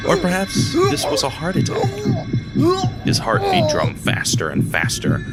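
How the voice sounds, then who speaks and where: A man narrating an audio drama in a low-ish voice, his delivery tense and building. Clear music plays underneath.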